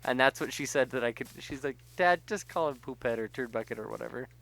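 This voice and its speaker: Man, middle-aged, mid-pitched, casual gaming banter, self-deprecating, anecdotal. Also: fast talker, creaky, fairly bright tone. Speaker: gaming show host. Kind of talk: casual chat while playing a video game.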